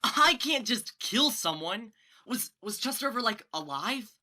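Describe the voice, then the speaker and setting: A woman's voice: on the high side, very animated, measured pace, bright, forceful tone, exaggerated voice. A young woman playing an anxious, earnest teenage best friend in a student stage play, flustered and stammering. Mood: distressed. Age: young adult. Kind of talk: student one-act stage acting.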